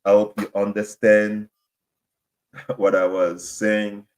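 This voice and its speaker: Deep-voiced man, French and Haitian Creole first languages. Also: flat delivery, slow. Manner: rambling, self-correcting, apologetic. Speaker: podcast host. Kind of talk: casual solo sports talk.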